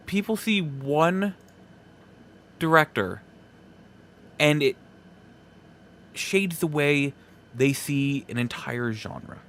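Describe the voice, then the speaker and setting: A man hosting a solo podcast, giving casual opinion commentary in a halting, emphatic way, repeating phrases for effect. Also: medium pitch. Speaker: podcast host.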